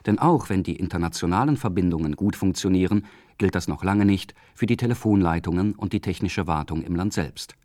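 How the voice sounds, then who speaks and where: A man reading a scripted radio documentary in a calm, measured way. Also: low voice, dry recording, studio quality. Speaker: radio feature narrator.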